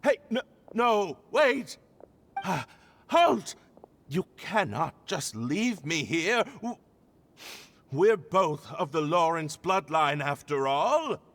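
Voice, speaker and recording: A man's voice: a little high, sing-song, slow pace, fairly bright tone, a little hiss or hum, heavily acted. A man playing a pompous, easily flustered, face-saving aristocrat in a video game, with stilted, formal delivery. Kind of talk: video game cutscene acting. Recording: long cave-like reverb, music behind.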